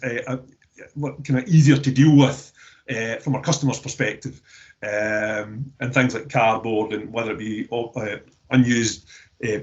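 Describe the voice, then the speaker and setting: A man with a Scottish accent talks through a webinar, repeating words and restarting his sentences often. His voice sits at an ordinary pitch. The call audio is dull and muffled. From Scotland. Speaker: recycling company representative. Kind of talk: webinar discussion. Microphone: video call.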